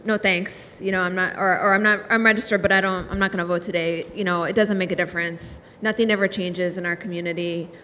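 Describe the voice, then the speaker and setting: A woman's voice: lowish voice, steady tone, fast, few pauses, bright, forceful tone. A woman speaking on a panel, telling personal stories in an earnest way, with many fillers and restarts. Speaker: panelist, state legislator. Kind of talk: panel discussion, personal storytelling. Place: large hall, panel on stage. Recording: reverberant hall, faint noise.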